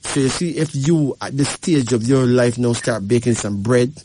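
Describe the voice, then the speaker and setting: A man's voice: mid-range voice, steady tone, moderate pace, warm tone, poor audio. Emphatic man reading numbers on air. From Barbados. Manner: emphatic, repeats "right?" to the caller. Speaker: radio host.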